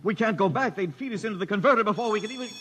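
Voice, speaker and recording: An actor playing an anxious, devout young man on the run in old-time science fiction radio style, halting and pleading. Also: high voice, fairly bright tone, slight background noise. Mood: panicked.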